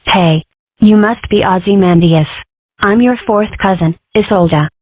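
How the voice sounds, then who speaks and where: A woman's voice: mid-range voice, measured pace, no echo, close to an everyday voice. A woman voicing young, matter-of-fact female animal characters in a comic strip dub. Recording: muffled phone-like band.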